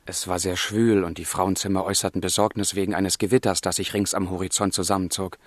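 An actor playing an ardent, romantic young man who narrates his letters in a lyrical, expressive way in a radio play. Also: deep voice, fast, no room sound. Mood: fond reminiscing.

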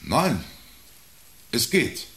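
An actor in a radio play as a big, wary factory worker giving terse, hesitant, deferential replies. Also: male voice, medium pitch, big pitch swings, extremely slow, pushed and bright, heavily acted. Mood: puzzled, guarded. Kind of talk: German radio play acting.

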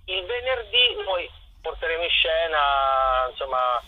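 A man's voice: fairly high pitch, sing-song, a little slow, dry recording, narrow, tinny audio. A man chatting casually as a theatre performer in a radio interview, often repeating words and restarting phrases over a phone-style line. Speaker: theatre performer interviewed about his show.

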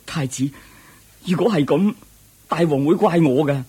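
An actor playing a devoted, anxious servant, pleading deferentially in a radio drama. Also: male voice, a little high, measured pace, mellow, husky. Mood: pleading, troubled. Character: devoted anxious male servant and charioteer to a prince. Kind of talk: Cantonese radio drama acting.

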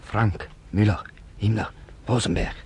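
An actor voicing a cold, relentless accusing chorus in a radio play, husky and measured in delivery. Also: male voice, lowish voice, steady tone, a little hiss or hum. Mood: cold, grave. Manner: measured, declamatory.

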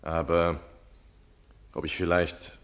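A man's voice: sits low, monotone, takes it slowly, fairly bright tone. A man acting in a 1960s German radio play as an eager, scheming American man of letters, courteous and insistent. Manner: ingratiating, polite, effusive.

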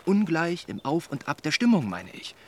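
An actor playing an earnest, well-meaning young gentleman in a German radio drama, speaking in a measured, formal, persuading way. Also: fairly high pitch, big pitch swings. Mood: insistent.

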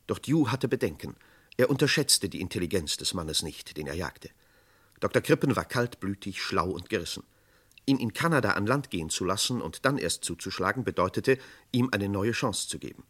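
An actor as the sober narrator of a vintage radio crime drama, delivering scripted narration. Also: male voice, on the low side, lively intonation, moderate pace, a bit bright, slightly rough. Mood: grave.

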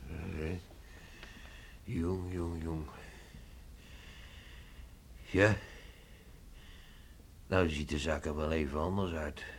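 Slow, gravelly older village father. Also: a bass voice, level tone, exaggerated voice. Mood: awkward. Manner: hesitant, halting, with chuckles. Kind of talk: Dutch radio play acting. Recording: noisy old radio drama recording.

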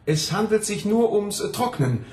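An actor playing a nervous, evasive pharmacist in a radio play, hesitant and stiffly courteous. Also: male voice, high-pitched, lively intonation, a little slow, darkish tone, roomy sound, recorded from a distance.

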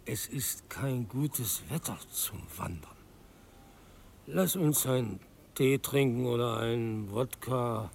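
A man's voice: mid-range voice, slow, gravelly, a little mellow, slight background noise. An actor playing a tipsy, insistent old man with slurred speech in a radio play. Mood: wheedling. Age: older.

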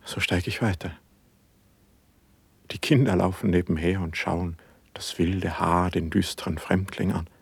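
An actor playing a brooding, suspicious and passionate poet in a classical verse radio drama, with declamatory, measured diction and a husky voice. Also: male voice, low voice, steady tone, no room sound, heavily acted. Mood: brooding, dreamy.

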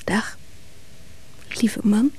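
A woman's voice: drawn-out words, a little mellow, in a whisper. An actress playing an anxious, longing woman who speaks hesitantly and pleadingly in a radio drama. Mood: tender, longing. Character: anxious, longing woman clinging to her absent lover. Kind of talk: Dutch radio drama acting.

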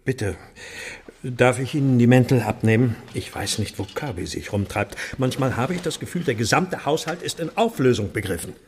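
An actor playing a stiff, defensive married gentleman with a husky voice, speaking formally in a radio play. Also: mid-range voice, animated, moderate pace, in a large hall, slight background noise, lightly acted. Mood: strained, formal. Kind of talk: dramatic radio play dialogue.

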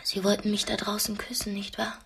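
Radio drama: a cultured young female prisoner, very breathy and husky, mid-pitched, proud and intense. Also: monotone, fairly quick, a bit bright, heavily acted. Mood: hushed, grave. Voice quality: breathy, often whispery. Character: proud, cultured young female art student held captive. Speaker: actress in a radio play. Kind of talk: radio drama dialogue and monologue.

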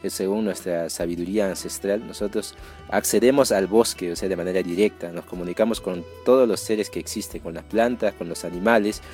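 A man's voice: deep voice, fairly quick, darkish tone, soft background music. A man from the Amazon, giving explanatory testimony as a community member and searching for his words. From Amazon region of northern Peru.

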